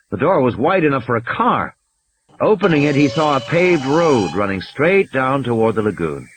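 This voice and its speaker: A man's voice: mid-range voice, a little hiss or hum. A man reading scripted audiobook narration, with ambience and sound effects behind him.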